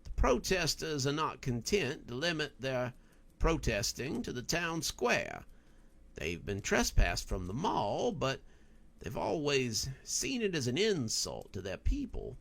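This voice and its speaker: Man voicing an earnest, cautious man, animated pitch. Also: measured pace, gravelly, full character voice. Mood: serious, cautious. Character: earnest, cautious protester explaining a grave problem.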